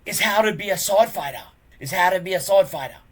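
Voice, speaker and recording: A reader voicing a boastful, contemptuous boy bully in a children's storybook, loud and sneering. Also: mid-range voice, a little fast, voice pushed up.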